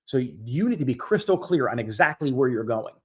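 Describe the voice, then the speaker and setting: A man's voice: medium pitch, very animated, fairly quick, a little mellow, slightly rough. A man in his forties hosting a live stream Q&A, giving business coaching in a direct, emphatic way that repeats key points. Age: 40s. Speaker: host.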